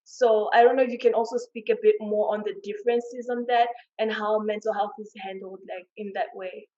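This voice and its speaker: A woman's voice: medium pitch, fairly even intonation, a little fast, darkish tone, lo-fi sound. A woman hosting a panel conversation, friendly and admiring, asking long, rambling questions. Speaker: host.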